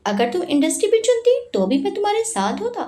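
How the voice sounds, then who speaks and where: A woman voicing a calm, loving young man. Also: extremely high pitch, fast talker, echoey room, voice pushed up.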